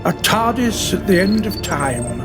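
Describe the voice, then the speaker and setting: A man acting a grave, mysterious elderly gentleman in an audio drama, solemn and theatrical. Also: sits high, big pitch swings, unhurried. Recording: very loud music behind. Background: dramatic trailer music.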